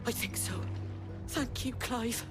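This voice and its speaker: A woman's voice: on the high side, slow pace, over music. A woman playing a tough, outspoken innkeeper in a fantasy video game, blunt and earthy. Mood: weary, grateful.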